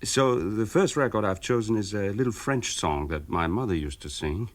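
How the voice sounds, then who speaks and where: Male actor as German author, lowish voice, modest, reflective, hesitant, radio interview in a drama. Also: animated, moderate pace, natural voice. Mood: nostalgic, modest.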